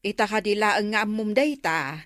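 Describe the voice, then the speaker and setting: A woman's voice: medium pitch, lively intonation, normal speed, a bit bright, no room sound, studio quality, natural voice. An actress playing a blunt, teasing village woman in a comedy radio drama. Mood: sardonic, teasing.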